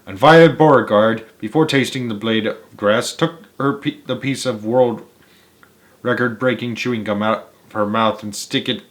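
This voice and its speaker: A man's voice: medium pitch, normal speed. A man reading a children's story aloud as narrator, with a dramatic, emphatic delivery. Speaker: storyteller, host of a read-aloud series. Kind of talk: children's audiobook-style narration.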